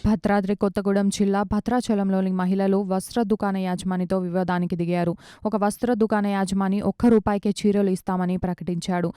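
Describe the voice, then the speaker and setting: A woman news reader delivers scripted reports in a smooth, clean voice. Her tone is soft and mellow at a middle pitch, and the sound is dry and close, without room echo.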